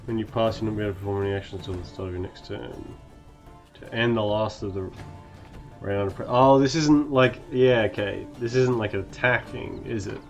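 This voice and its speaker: Low-voiced male streamer, gravelly from sickness, casual commentary, faint music. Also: fairly even intonation, moderate pace. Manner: casual, reads tutorial text aloud, laughs often. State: sick, gravelly voice. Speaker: game streamer. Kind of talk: casual let's-play commentary.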